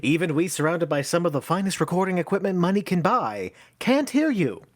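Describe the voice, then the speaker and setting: A man's voice: high-pitched, very animated, fairly quick, no echo, studio quality. An actor playing a pompous, fussy, exasperated male theatre manager who talks theatrically in a stage play.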